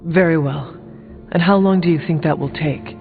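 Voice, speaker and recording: Solemn warrior, terse, formal, deliberate, video game dialogue, muffled narrow sound, long reverb. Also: male voice, on the high side, gravelly. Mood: blunt, formal. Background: music.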